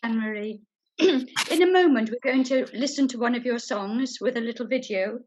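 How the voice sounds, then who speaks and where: A woman hosting an interview at a church breakfast, friendly and a bit halting, clearing her throat. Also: fairly high pitch. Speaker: interview host. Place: church hall. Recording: dull lo-fi sound.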